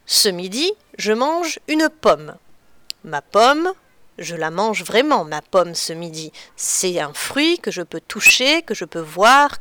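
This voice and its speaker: A woman teacher patiently explaining to children in a clear, deliberate way. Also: medium pitch, a bit bright, dry recording. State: slightly stuffy from a cold. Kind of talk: children's lesson on figurative expressions.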